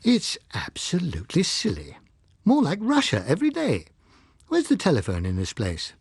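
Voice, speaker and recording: A man voicing a brisk, headstrong English wife in an audiobook, emphatic and impatient, with an English accent. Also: fairly high pitch, huge pitch swings, gravelly, breathy edge, no echo, studio quality, pitched above the performer's own voice, full character voice. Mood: indignant.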